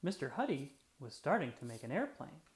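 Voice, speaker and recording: A storyteller reading a children's picture book aloud with deliberate, expressive phrasing for young listeners. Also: medium pitch. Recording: roomy, echoey sound.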